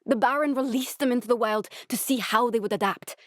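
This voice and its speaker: A woman voicing an earnest, guarded young female scientist survivor in a video game, speaking in a measured, serious way. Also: on the high side, no room sound, clean studio sound, close to an everyday voice. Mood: earnest, explanatory. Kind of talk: dramatic video game dialogue.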